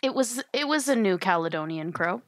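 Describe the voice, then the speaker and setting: A woman's voice: medium pitch, lively intonation, fairly quick, dry recording, studio quality. A woman chatting casually and emphatically as a guest on a tabletop gaming podcast.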